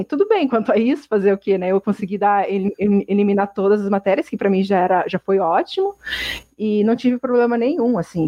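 Young woman from Brazil, chatty interviewee, mid pitch, dry muffled recording. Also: dark tone, no echo. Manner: rambling, many restarts and fillers. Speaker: interviewee, exchange student. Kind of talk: casual interview answer. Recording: dull muffled sound.

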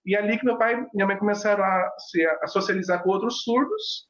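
A man with a notably high voice, whose first language is sign language, tells his life story in halting speech full of fillers and restarts. The sound is muffled and lo-fi, carrying the echo of a reverberant room. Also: a bit bright. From Brazil. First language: Brazilian Sign Language. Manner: hesitant, many fillers and restarts. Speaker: pastor and sign language interpreter. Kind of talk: personal storytelling in an interview. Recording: echoey, dull lo-fi sound.

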